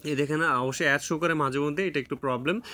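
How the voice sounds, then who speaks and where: Bangladeshi guy walking through a phone app, kinda high voice for a man, lots of uhs. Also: fairly even intonation, fast talker, noisy background. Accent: Bangladeshi Bengali. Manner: casual, many "uh" fillers and restarts. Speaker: tech tutorial host. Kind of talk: screen-recorded app tutorial.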